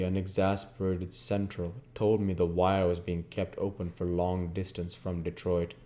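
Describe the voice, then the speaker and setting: A man with a low, soft-edged and mellow voice reads literary fiction aloud. He narrates it in audiobook style, in a dark, relaxed tone. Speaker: reader of a video book series. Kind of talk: audiobook-style reading of a classic novel.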